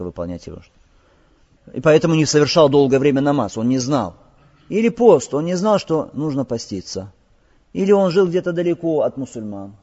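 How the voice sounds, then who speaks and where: Mellow, husky man teaching in a reverberant hall. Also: medium pitch. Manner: didactic, asks rhetorical questions and answers them; mixes Arabic recitation and terms into Russian. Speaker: Islamic teacher. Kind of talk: religious lesson, explaining hadith line by line. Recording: dull lo-fi audio in reverberant hall.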